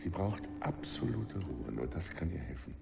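An actor playing a controlling, protective middle-aged husband in a German radio drama, firm, formal and soothingly patronising. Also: male voice, low voice, sing-song, normal speed, vocal fry, exaggerated voice. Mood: protective, grave.

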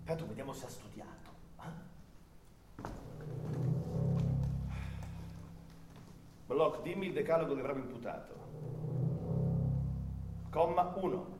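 An actor playing a domineering, capricious male lawyer in a radio drama, theatrical, swinging between quiet menace and shrill shouting. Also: animated, moderate pace, lightly acted. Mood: mocking, condescending. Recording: echoey stage sound with music.

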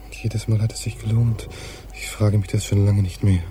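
A man's voice: fairly low pitch, level tone, moderate pace, warm tone, close to an everyday voice. An actor playing a loyal, deferential crewman in a radio drama, speaking politely and confidingly. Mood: confiding, reassuring. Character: deferential, discreet male crewman, quietly loyal. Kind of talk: German radio drama acting.

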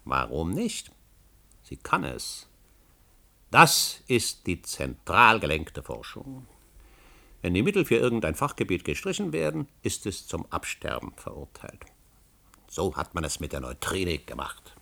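Gravelly, insistent scholar. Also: male voice, low-pitched, huge pitch swings, bright, forceful tone, exaggerated voice. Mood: urgent, conspiratorial. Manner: emphatic, rhetorical, deliberate phrasing. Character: driven, conspiracy-minded older male history scholar, insistent and imperious. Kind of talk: German radio play acting.

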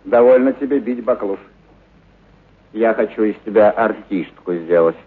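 A man's voice: lowish voice, moderate pace, slightly rough, narrow, tinny audio. An actor playing a kindly, enthusiastic male circus animal trainer in a radio play, muttering hesitantly and repeating encouragements. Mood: coaxing, pleased. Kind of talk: dramatized literary radio play acting.

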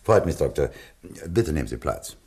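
An obliging embassy official in a radio mystery. His voice is extremely deep and gravelly, with lively rises and falls as he briefs people in a courteous, measured way. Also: heavily acted. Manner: courteous, measured, reads out files methodically. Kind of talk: German radio drama acting.

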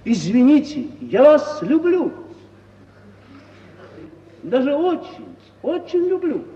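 An actor playing a foolish, sentimental and pompous suitor in halting, effusive 1950s Russian radio theatre style. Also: male voice, extremely high pitch, sing-song, warm tone, recorded from a distance, exaggerated voice. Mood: smitten, effusive. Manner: halting, effusive, swooping delivery with drawn-out words. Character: foolish, sentimental suitor, smitten yet pompously honour-bound. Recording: old roomy stage-like radio recording, dull, hissy.

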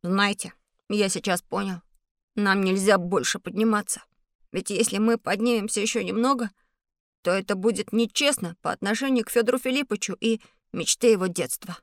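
A woman voicing an earnest, curious young schoolboy in an educational audio drama, speaking in a thoughtful, clear way. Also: mid-range voice, fairly even intonation, moderate pace, no room sound, clean studio sound, close to an everyday voice. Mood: earnest, hushed.

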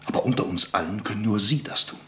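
An actor playing an earnest, humble middle-aged lawyer and monarchist, speaking solemnly in hushed, halting tones in a radio drama. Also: male voice, on the low side, a little hiss or hum. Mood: earnest, pleading.

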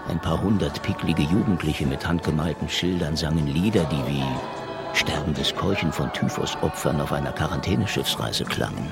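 A man's voice: rumbling low, normal speed, gravelly, loud music behind the voice. An actor playing a cynical, down-at-heel private detective in a noir radio drama, sardonic and laconic as he talks and narrates. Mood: sardonic narration. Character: cynical down-at-heel Moscow private detective, hard-boiled, wry. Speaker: lead actor and first-person narrator.

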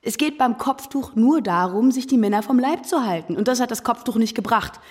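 Blunt, high-voiced German schoolgirl played by a woman. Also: lively intonation, fairly quick, natural voice. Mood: heated, scornful. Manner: blunt, combative, argumentative. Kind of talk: German radio drama acting.

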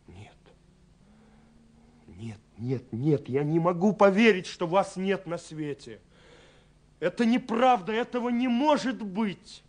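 An actor playing a lovelorn, wistful young man reading his letters in a radio play, brooding and dramatic, moving between hushed and impassioned. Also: high-pitched, lively intonation, slow pace, pauses often. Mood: desperate pleading. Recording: hissy 1970s radio recording.

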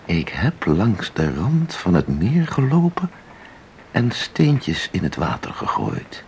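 A man's voice: deep voice, huge pitch swings, slow pace, creaky, a little mellow, a little hiss or hum, full character voice. An actor playing an intense, mysterious man in a radio drama, speaking with insistent, theatrical deliberation. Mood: dreamy, fervent. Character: intense, mysterious man who claims to enter paintings. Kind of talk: Dutch radio drama acting.

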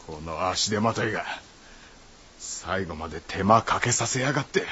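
Gruff, low-voiced rough adventurer. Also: male voice, animated, normal speed, background noise. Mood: weary, gruff. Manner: coarse, blunt masculine speech. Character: gruff, rough-tongued, self-sacrificing adventurer man. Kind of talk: Japanese radio drama acting. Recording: dull band-limited audio under loud music.

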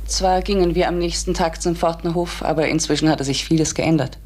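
An actress playing an earnest, unsettled schoolteacher, both narrating and speaking in a German radio drama. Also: female voice, deep voice, fairly even intonation, a little fast, darkish tone. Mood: earnest, uneasy. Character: earnest, unsettled woman schoolteacher telling her strange story. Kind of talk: German radio drama acting, first-person narration and dialogue.

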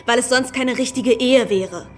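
A woman's voice: on the high side, moderate pace, a little hiss or hum, natural voice. An actress playing a prim, devout fifteen-year-old girl who speaks firmly in a radio play. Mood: stubborn. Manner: firm, unyielding.

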